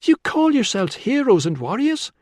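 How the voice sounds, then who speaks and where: Guy doing a fierce young woman from a historical audiobook, high voice, urgent and challenging. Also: animated, normal speed, dry recording, studio quality. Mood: scornful, shouting. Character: defiant, pleading young Celtic woman confronting her father.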